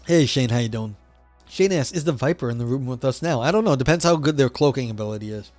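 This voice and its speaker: A man with a scratchy, hoarse voice on a livestream, reading philosophy passages aloud and then commenting casually, swearing and poking fun at himself. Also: mid-range voice, quick pace, darkish tone, no echo, faint music behind. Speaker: streamer reading philosophy text.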